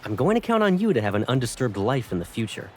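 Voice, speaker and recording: A man's voice: mid-range voice, big pitch swings, quick pace, a little mellow, close to an everyday voice. A man voicing a teasing, devoted young captain and sweetheart in a romantic game fan dub. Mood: teasing, fond. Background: music.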